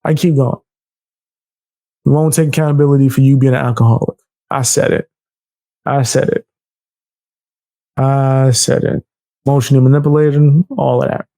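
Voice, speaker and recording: A man's voice: mid-range voice, steady tone, normal speed, long pauses, dark tone, no room sound. A man hosting a casual reaction commentary, loose and laughing, often repeating himself and calling people bro. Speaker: commentary YouTuber.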